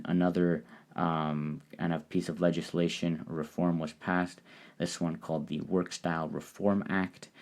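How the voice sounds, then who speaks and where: A man hosting a solo podcast, talking through a topic in a measured, explanatory way. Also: sits low, a little mellow. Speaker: podcast host. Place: lives in Japan.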